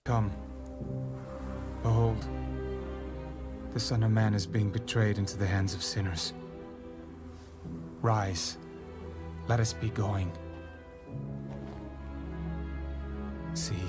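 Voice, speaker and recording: A solemn male religious leader in a dramatized scripture recording. He speaks slowly and gravely, each phrase measured. Music plays clearly behind him. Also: fairly low pitch, flat delivery. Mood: resolute. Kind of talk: dramatized audio Bible acting.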